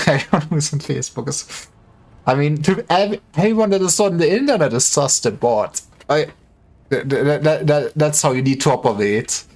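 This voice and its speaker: A man's voice: fairly high pitch, moderate pace, a little mellow. Rambling, stammering man chatting on stream. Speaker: livestreamer. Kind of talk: casual gaming livestream chat.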